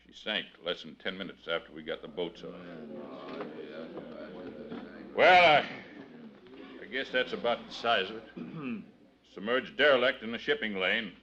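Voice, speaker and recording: Gruff, belligerent sea captain, fifties, forceful and sharp, low-pitched, dull vintage radio sound. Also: male voice, animated, moderate pace, slight background noise. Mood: grim recounting. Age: 50s. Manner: gruff, defensive, rough nonstandard grammar. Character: tough, stubborn, belligerent sea captain of about fifty. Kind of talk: 1950s radio drama acting.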